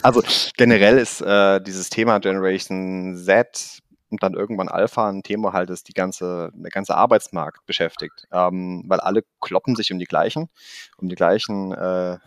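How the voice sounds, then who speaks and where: A rapid, energetic middle-aged man whose German carries a blend of Swabian and Rhineland dialect. His voice sits a little low and sounds dry, close-miked and free of room echo. He jokes about himself and fills his pauses with quick "ähm"s. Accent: mix of Swabian and Rhineland German. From Koblenz, Rhineland, Germany. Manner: fast, energetic, self-joking, frequent fillers. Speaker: podcast guest, training institute manager. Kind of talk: casual interview talk.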